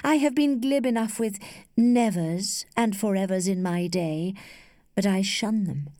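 A woman voicing a worldly, flirtatious lady who teases with cool indulgence in a measured, studied way, as in a storybook. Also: mid-range voice, dark tone, no echo, studio quality, close to an everyday voice. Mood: gently persuasive. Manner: studied, measured, archly playful.

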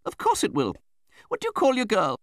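An imperious elderly great-aunt from a classic storybook, pitched very high. She snaps out orders with great emphasis and swoops dramatically up and down. She is eccentric, brusque and used to being obeyed. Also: wildly swooping pitch, a little fast, no echo, studio quality. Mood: blunt, impatient. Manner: snappish, commanding, emphatic. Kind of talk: dramatised audiobook reading of a classic novel.

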